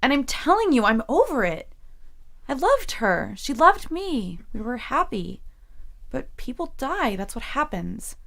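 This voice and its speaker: High-voiced, defensive young woman. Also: big pitch swings, measured pace, gravelly, a bit bright, exaggerated voice. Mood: exasperated. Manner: defensive, insistent, emphatic. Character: defensive, troubled female college student haunted by dreams. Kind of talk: audio drama acting.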